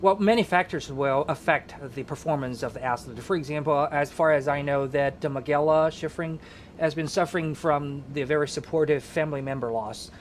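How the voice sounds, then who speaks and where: A man's voice: a little high, brisk, slight background noise. A man appearing as a guest on a live talk show, explaining sports at length with many hesitations. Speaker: guest skiing enthusiast.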